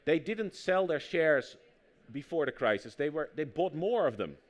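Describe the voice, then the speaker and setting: Dutch-accented male guest author, somewhat high pitch, animated storyteller who acts out quotes, public talk setting. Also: normal speed. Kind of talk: conversational interview on stage.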